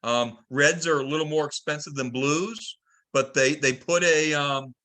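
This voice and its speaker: A man hosting a club conference call, talking casually and haltingly, often restarting his sentences. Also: fairly high pitch, fairly even intonation, sharp tone. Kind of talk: casual group discussion.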